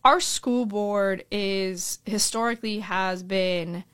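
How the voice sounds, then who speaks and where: A woman's voice: medium pitch, fairly bright tone, clean tone, dry recording. A young Colombian-born woman running for school board, talking earnestly in a podcast interview and often tagging her points with "right?". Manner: earnest, fast-flowing with frequent "right?" tags.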